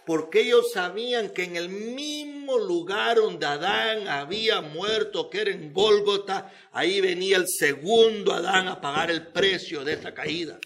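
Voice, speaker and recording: High-pitched man preaching emphatically. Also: a little slow, few pauses, a bit bright. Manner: emphatic, repetitive, rhetorical questions and phrases. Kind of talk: sermon.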